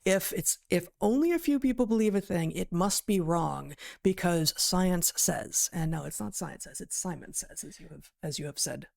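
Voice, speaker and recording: A woman co-hosting a podcast, giving skeptical, critical commentary in a halting way. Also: lowish voice, vocal fry, darkish tone. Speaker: podcast co-host, biologist.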